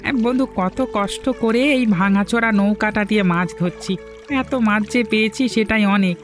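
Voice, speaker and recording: A man voicing a high, eager fisherman friend. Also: moderate pace, faint music behind, lightly acted. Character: adult male fisherman, the hero's eager friend. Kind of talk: cartoon dub acting.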